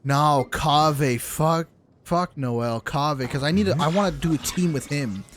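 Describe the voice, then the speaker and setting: A man's voice: fairly high pitch, normal speed, a bit bright, a little hiss or hum. A man doing casual, joking game livestream commentary, swearing now and then as he talks to his chat. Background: video game music and sounds.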